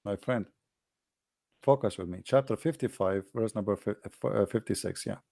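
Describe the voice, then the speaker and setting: Man, first language arabic, insistent debater, repetitive rhetorical questions, mellow dark voice, dry sound. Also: lowish voice, moderate pace, creaky, no room sound. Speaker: host debating a caller. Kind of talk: argumentative call-in debate.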